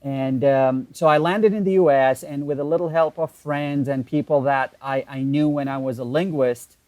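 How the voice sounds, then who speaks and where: A man in his thirties from Iraq, a native Arabic speaker with an Iraqi accent, telling his story as a guest over a video call, relaxed and halting with fillers. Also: on the high side, no echo. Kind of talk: casual interview storytelling.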